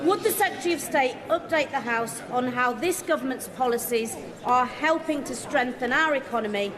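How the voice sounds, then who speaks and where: A woman's voice: high-pitched, moderate pace. A woman, a member of parliament, asking an upbeat question in a parliamentary chamber. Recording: chamber with crowd murmur.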